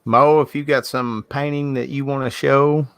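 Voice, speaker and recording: A man's voice: medium pitch, steady tone, moderate pace, a bit bright, clean tone, dry recording. Southern male host, casual rambling delivery, dry laptop-call audio. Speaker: host of an art livestream. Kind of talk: casual chat. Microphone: video call from a laptop.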